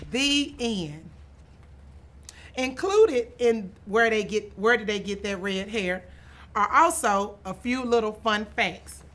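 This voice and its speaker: A woman reading a children's picture book aloud in an expressive storytelling manner, stretching some phrases for emphasis. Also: on the high side. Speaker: author and school administrator reading aloud.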